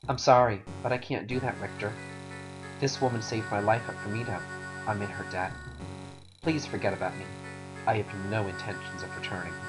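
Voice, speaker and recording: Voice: mid-range voice, steady tone, normal speed, lightly acted, rough recording. A performer voicing an earnest, resolute young male knight in a fantasy game, polite but firm. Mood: apologetic, firm. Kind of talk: voiced game cutscene dialogue. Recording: game music behind voice. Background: music.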